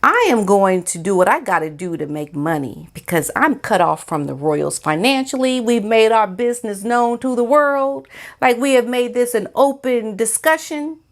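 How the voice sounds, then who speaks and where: A woman's voice: on the high side, animated, normal speed. An American woman giving informal opinion commentary as a vlogger, speaking in a sassy, emphatic, colloquial way.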